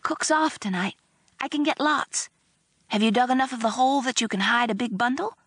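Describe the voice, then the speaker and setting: A woman reading aloud, voicing a timid, kind young servant girl who speaks in hushed, nervous tones. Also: on the high side, fairly quick, no echo, clean studio sound, voice pushed up. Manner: whispery, hushed.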